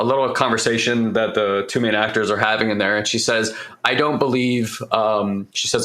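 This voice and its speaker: Man, middle-aged, hoarse, earnest rambling talk, fairly low voice, bright edge. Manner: rambling, restarts often, earnest. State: voice partly lost, hoarse. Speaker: podcast co-host. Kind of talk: casual spiritual coaching talk.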